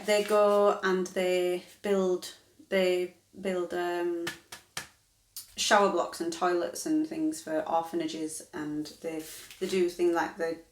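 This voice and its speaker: A woman in her thirties chatting casually to camera, rambling and correcting herself as she goes. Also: fairly low pitch. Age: 30s. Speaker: vlogger. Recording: echoey room.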